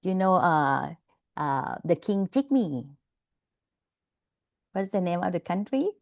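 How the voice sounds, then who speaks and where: A woman's voice: on the low side, sing-song, takes it slowly, gravelly, darkish tone. A woman giving an online class over a video call, chatty and laughing often, checking in with "okay?" and "you know". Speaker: lecturer.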